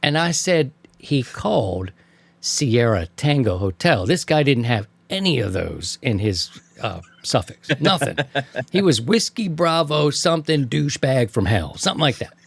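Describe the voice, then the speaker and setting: A man's voice: medium pitch, big pitch swings, unhurried, gravelly, husky, dry recording. A man hosting an amateur radio show, telling anecdotes in a casual, slangy way and acting out remembered exchanges. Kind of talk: casual anecdotal storytelling to co-hosts.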